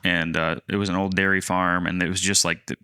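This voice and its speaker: Guy around thirty chatting on a podcast, low bright clear voice, rambles and restarts. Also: little pitch movement, a little fast, no echo, studio quality. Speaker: podcast co-host, land agent. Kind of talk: casual storytelling chat.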